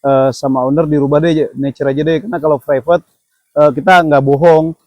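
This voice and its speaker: A man's voice: a little high, fairly even intonation, darkish tone, no room sound. A man, hosting a casual chat at an outdoor campsite in a friendly, joking way. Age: 30s. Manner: friendly, joking, quick to agree. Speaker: campsite host.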